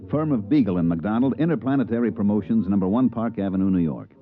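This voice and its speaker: An actor playing a brash, fast-talking male promoter in a 1950s radio drama, with breezy salesman patter. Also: fairly low pitch, lightly acted. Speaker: narrator and lead character. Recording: dull 1950s radio transcription with music.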